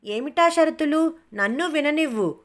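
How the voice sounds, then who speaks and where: A woman voicing a stubborn, cunning village wife in an animated story, brazen and insistent. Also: high voice, voice pushed up. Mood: breezy, pleased. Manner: brazen, insistent, quick to retort. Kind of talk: animated moral story character voice.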